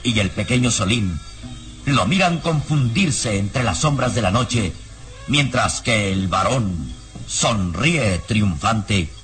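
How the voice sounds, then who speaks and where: A man's voice: lowish voice, lively intonation, takes it slowly, fairly bright tone. A man giving dramatic scripted narration for an old radio serial, solemn, suspenseful and declamatory. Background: dramatic music.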